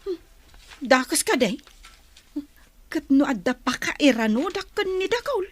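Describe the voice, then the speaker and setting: A woman plays a straying young village wife on the defensive in a radio drama. Her voice is very high and lively, with big pitch swings. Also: a little slow, no echo. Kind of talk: Ilocano radio comedy drama acting.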